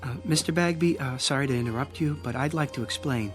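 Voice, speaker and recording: An actor playing a timid, meticulous bank clerk in a radio drama, hesitant, stammering and deferential. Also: male voice, mid-range voice, quick pace, soft background music, close to an everyday voice. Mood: nervous, deferential.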